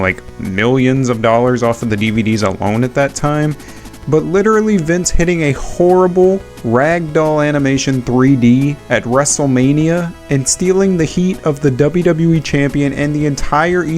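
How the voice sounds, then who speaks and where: Male video essay narrator, casual sweary opinionated fan talk, medium pitch, music bed behind. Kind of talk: casual retrospective video commentary.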